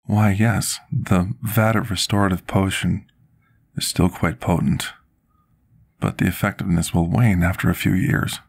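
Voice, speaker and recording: A man playing a gentle, timid potion master in a soft-spoken ASMR roleplay, husky and gravelly, formal and deferential. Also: deep voice, fairly even intonation, unhurried, exaggerated voice. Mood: humble, hesitant. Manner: formal, polite, submissive, archaic wording.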